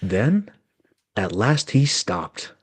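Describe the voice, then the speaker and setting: Guy narrating a storybook, dramatic, really up and down pitch, dry close sound. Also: very animated, extremely slow, slightly rough, dry recording. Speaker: narrator in a group reading.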